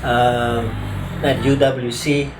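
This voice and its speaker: A man's voice: medium pitch, flat delivery, very slow, background noise. A man in his fifties with a South African accent, talking in a loose, conversational way with many fillers. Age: 50s. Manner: conversational, rambling, full of fillers like "sort of". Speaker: interviewee, attorney. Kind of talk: casual oral-history interview.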